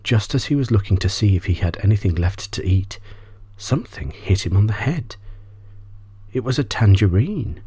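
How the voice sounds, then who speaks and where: A man narrates a bedtime story in a very low, dark voice. His delivery is soft ASMR storytelling that often slips toward a whisper. The tone is breathy and husky, captured close and dry. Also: lively intonation, normal speed, no echo. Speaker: audiobook reader.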